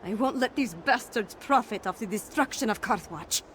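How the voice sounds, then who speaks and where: A woman's voice: on the high side, animated, moderate pace, fairly bright tone, a little hiss or hum. An actress playing a determined young warrior woman in a video game, speaking in an earnest, resolute manner. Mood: grim resolve. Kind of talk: scripted video game dialogue.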